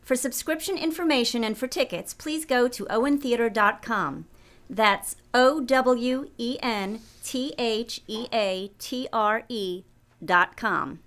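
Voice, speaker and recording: A woman with a fairly high voice delivers a scripted announcement. She reads as a promotional narrator for a theatre company. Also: slow, fairly bright tone.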